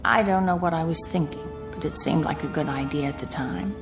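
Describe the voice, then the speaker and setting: A woman's voice: low voice, brisk, music in the background. An actress playing a weary, anxious young woman motorist in a radio drama, uneasy and confiding. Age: 20s. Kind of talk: radio drama acting, confiding narration and dialogue.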